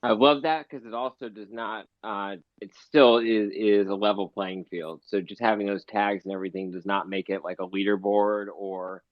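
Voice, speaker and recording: A man speaking casually and a little haltingly in a live discussion, on dull online audio. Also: fairly low pitch, steady tone, no echo.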